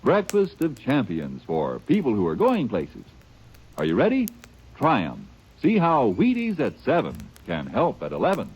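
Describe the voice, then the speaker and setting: A man's voice: huge pitch swings, measured pace, rough recording. A man delivering a folksy, hearty 1940s radio cereal commercial. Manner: folksy, hearty sales pitch. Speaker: commercial announcer. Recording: old radio broadcast.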